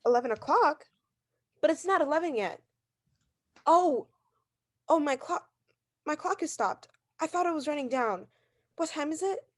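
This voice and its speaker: An actress playing a neurotic, demanding invalid wife in a 1940s radio thriller, insistent, nervous and overwrought. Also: female voice, high voice, animated, moderate pace, pauses often. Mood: anxious. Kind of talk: 1940s radio drama acting.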